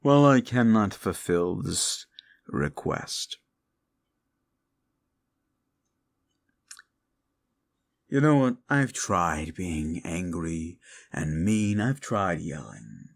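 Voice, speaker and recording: A man playing a haughty, seductive fallen-angel demon in an intimate audio roleplay, with a gravelly voice and archly formal, taunting delivery. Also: sing-song, slow, heavily acted. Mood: exasperated. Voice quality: gravelly, creaky low voice. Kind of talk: intimate second-person audio roleplay acting.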